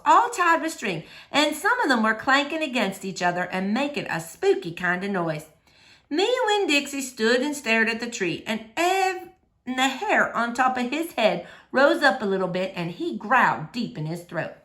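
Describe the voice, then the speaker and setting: A woman narrates a story for children. Her voice is on the high side. She reads very expressively, with wide swings in pitch from phrase to phrase. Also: sing-song, moderate pace, sharp tone.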